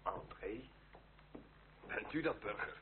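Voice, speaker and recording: An actor playing a gruff, sardonic revolutionary army sergeant in an old radio drama. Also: male voice, fairly low pitch, lively intonation, slow pace. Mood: sharp, barking. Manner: rough, sardonic. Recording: echoey, noisy, tinny old radio recording.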